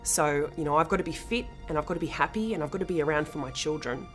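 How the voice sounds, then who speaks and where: A woman's voice: deep voice, quick pace. An Australian woman giving a candid, plain-spoken personal testimonial. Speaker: fitness challenge participant. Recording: clear background music.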